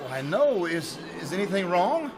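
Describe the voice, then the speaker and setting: A man's voice: high-pitched, sing-song, measured pace, over music. A man acting in a live old-time radio drama as a kindly, concerned neighbour with a hesitant, stammering manner. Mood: concerned, sympathetic. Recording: noisy live theater stage recording.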